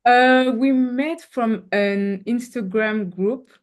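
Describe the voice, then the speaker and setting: Slow, halting young woman. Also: a little high. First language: Creole. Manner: halting, searches for words. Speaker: cinematographer guest. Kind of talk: casual interview talk.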